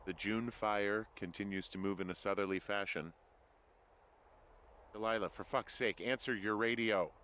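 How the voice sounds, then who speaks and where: A man's voice: lowish voice, steady tone, normal speed, pushed and bright, slight background noise. An actor playing a weary, plainspoken male fire lookout in a video game, speaking in a dry, hesitant, conversational way. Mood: weary, baffled. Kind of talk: naturalistic video game dialogue.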